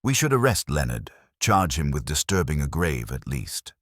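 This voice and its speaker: A man's voice: very deep voice, very animated, moderate pace, a bit bright, dry recording, studio quality, full character voice. A man voicing an impatient police sergeant, respectful but insistent, in an audio mystery. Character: impatient male police sergeant pressing his superior for action.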